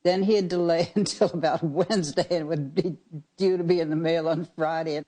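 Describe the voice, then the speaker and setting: Older woman, very mellow relaxed voice, spoken reminiscence, anecdotal and unhurried. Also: sits low, low-quality recording. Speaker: radio writer recalling her work.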